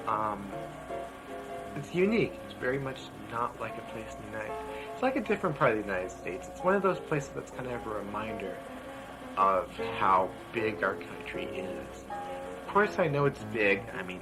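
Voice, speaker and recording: A man in his thirties rambling casually and reflectively, with halting asides, over loud music. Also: on the low side, rough recording. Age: 30s.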